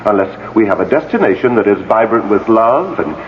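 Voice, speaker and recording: An actor playing the urbane, world-weary ghost of a husband in a 1980s radio mystery drama, with droll, languid phrasing. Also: male voice, fairly low pitch, expressive, a little fast, lightly acted. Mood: wistful, droll. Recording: muffled, noisy old radio broadcast recording.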